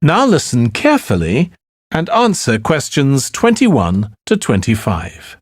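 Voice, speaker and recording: A man reading scripted exam instructions as an announcer, formal and deliberate. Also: mid-range voice, huge pitch swings, dry recording, clean studio sound.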